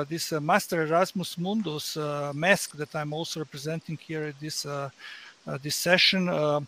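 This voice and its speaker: A man with a fairly high voice talks on a discussion panel as a programme representative. His unscripted speech is halting, broken into short hesitant phrases. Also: fairly bright tone.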